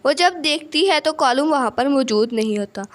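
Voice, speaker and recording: A woman's voice: sits high, lively intonation, moderate pace. A woman narrating a scripted children's story.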